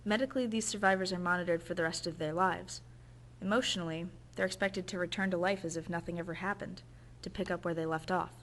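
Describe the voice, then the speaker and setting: Earnest woman narrator, slightly low. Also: fairly even intonation, fairly bright tone, a little hiss or hum. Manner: earnest, measured delivery. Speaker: documentary narrator. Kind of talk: scripted narration.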